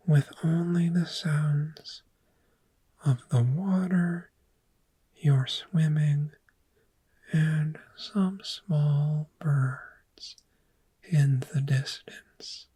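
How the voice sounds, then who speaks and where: A woman playing a calm, gentle dragon therapist in a soft-spoken ASMR roleplay, speaking in a breathy, soothing whisper with long pauses. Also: low-pitched, exaggerated voice. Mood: soothing, hypnotic.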